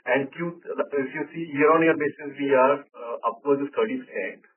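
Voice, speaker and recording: A man's voice: medium pitch, normal speed, a little hiss or hum. A man explaining results as a company executive on an earnings call, often checking with "right?". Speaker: company executive answering analysts' questions. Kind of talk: unscripted earnings call answers. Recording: narrow-band phone line, distant from mic.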